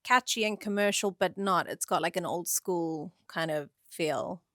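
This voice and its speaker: A woman's voice: on the low side, unhurried, no room sound, studio quality. Chatty South African woman host. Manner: warm, chatty, hesitant with fillers. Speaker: podcast host. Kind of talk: casual podcast interview.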